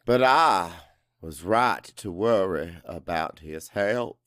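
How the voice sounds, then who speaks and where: A man narrating a dramatic first-person audiobook in an emotive, deliberate way with pauses for effect. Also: low voice, lively intonation, a bit bright, smooth, no room sound, clean studio sound.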